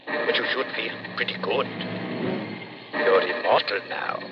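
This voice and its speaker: A man's voice: lowish voice, unhurried, lo-fi sound, natural voice. An actor playing a sly, chatty man breaking grim news to a friend in an old radio suspense play, theatrical and falsely reassuring. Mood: grimly reassuring. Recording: band-limited, faintly noisy old radio recording.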